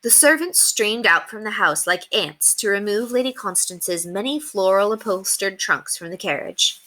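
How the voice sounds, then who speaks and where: A woman reading a children's book aloud as an expressive storyteller. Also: medium pitch, normal speed.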